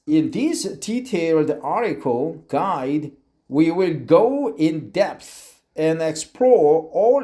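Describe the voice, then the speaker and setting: Slow, halting Korean-accented man. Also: fairly high pitch, warm tone. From South Korea. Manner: slow and halting, repeats phrases to practice. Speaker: office worker practicing English. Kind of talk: reading an article aloud for practice.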